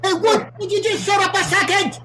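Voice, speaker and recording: A woman's voice: very high voice, steady tone, brisk, a bit bright, raised voice. A woman playing a dim, hot-headed male thug in a staged comedy reading, blustering and defensive. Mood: agitated, insistent.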